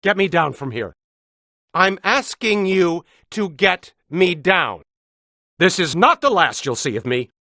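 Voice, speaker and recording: A man's voice: high voice, very animated, bright, forceful tone, no echo, clean studio sound, exaggerated voice. An actor playing an officious, indignant male city bureaucrat in a video game, pompous and precise in his delivery. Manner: pompous, clipped, deliberate enunciation.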